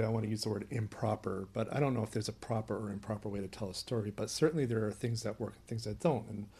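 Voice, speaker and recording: Gravelly, husky man hosting a podcast. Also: mid-range voice, a little mellow. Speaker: podcast host. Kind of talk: conversational discussion.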